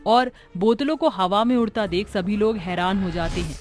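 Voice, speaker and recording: A woman's voice: mid-range voice, normal speed. A woman reading scripted story narration as the narrator of a moral tale. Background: background music.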